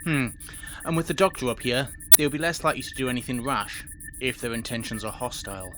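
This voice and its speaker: An actor plays a stern station principal in a science fiction audio drama. The voice sits at a medium pitch and is formal and clipped, full of suspicion and used to command. There is faint noise behind it. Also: steady tone, quick pace, natural voice. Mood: guarded, businesslike.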